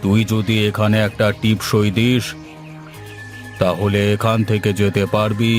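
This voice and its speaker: A menacing village moneylender acted by a man in a cartoon fable. His voice is on the low side, stern and domineering as he talks down to debtors, with faint music underneath. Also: level tone, unhurried, natural voice. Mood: menacing, impatient. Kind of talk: cartoon moral-story dialogue.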